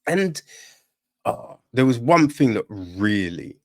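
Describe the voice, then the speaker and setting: A man's voice: mid-range voice, sing-song, takes it slowly, vocal fry. A man giving a casual podcast film review, rambling and opinionated, with constant tag questions. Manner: rambling, frequent tag questions like "right?" and "you know"; opinionated and irritated.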